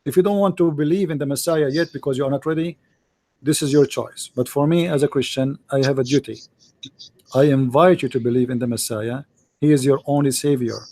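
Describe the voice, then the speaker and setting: A man's voice: medium pitch, steady tone, a little fast, warm tone. A man with an Arabic accent, a native Arabic speaker, arguing emphatically as host of a call-in religious debate in repetitive, non-standard English.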